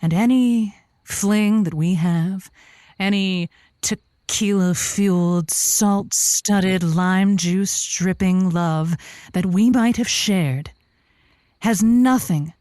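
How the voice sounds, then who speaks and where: An actress playing a flamboyant, flirtatious advice host who spins tall romantic tales in a theatrical, suggestive way. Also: female voice, fairly low pitch, darkish tone, no echo, clean studio sound, natural voice. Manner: theatrical, suggestive, self-dramatizing. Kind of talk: comedic audio drama storytelling.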